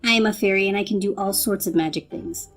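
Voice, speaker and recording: A woman's voice: medium pitch, quick pace, faint music behind, close to an everyday voice. A woman voicing a feisty, indignant young fairy in a dramatic storybook reading, emphatic and defiant.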